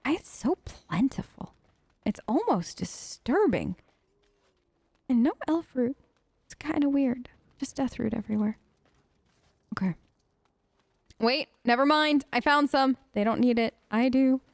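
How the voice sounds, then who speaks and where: A woman with a high voice hosts a role-play let's play in a close, dry recording. She chats casually and playfully, expressively addressing the characters as she goes. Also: animated, measured pace, pauses often, no room sound. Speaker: gaming video host. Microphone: close dry voice-over microphone.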